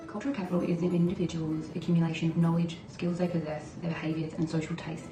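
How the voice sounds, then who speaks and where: A woman's voice: fairly low pitch, level tone, fast talker, over music. Young woman in an echoey room. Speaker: university student. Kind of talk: read-aloud personal account. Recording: roomy echo.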